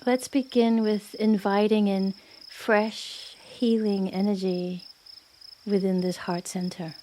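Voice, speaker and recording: A woman guiding a yoga nidra meditation in a gentle, unhurried manner with long pauses. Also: medium pitch, level tone, a little hiss or hum. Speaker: meditation guide. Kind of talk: guided yoga nidra meditation, soothing narration.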